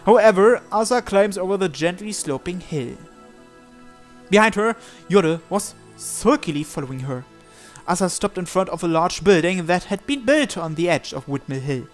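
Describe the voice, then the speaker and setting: A man's voice: sits high, lively intonation, moderate pace, soft background music. A man hosting a let's play, reading story narration aloud and breaking off for casual asides on the text. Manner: stumbles and breaks off to comment on the text.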